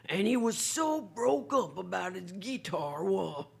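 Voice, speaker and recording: A woman playing an excitable, protective saloon keeper in a radio western, gravelly and husky, with a rural American accent. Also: mid-range voice, slow pace, exaggerated voice. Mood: insistent. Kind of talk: old-time radio western acting.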